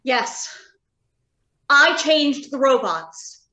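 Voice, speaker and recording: A woman's voice: sits high, very animated, drawn-out words, bright, forceful tone, full character voice. A woman acting a defiant, guilt-ridden scientist in an old radio drama, emphatic and declamatory. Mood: confessing.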